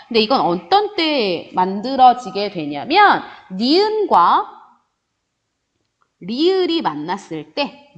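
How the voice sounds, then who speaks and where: Woman teacher, online lesson, sing-song and lively, muffled lo-fi sound. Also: fairly high pitch. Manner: lively, rising and falling teacherly sing-song, voices student questions herself. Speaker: Korean grammar teacher. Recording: dull lo-fi recording.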